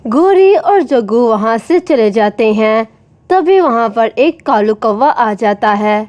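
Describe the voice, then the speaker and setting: A woman's voice: on the high side, expressive, takes it slowly, darkish tone, no echo, lo-fi sound. A woman narrating a scripted children's story.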